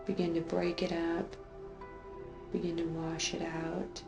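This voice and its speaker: Slow, creaky-voiced woman leading meditation. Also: lowish voice, monotone. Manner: soothing, gently drawn-out with repeated phrases. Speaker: guided meditation leader. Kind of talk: slow guided meditation, unscripted. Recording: roomy echo, faint music underneath.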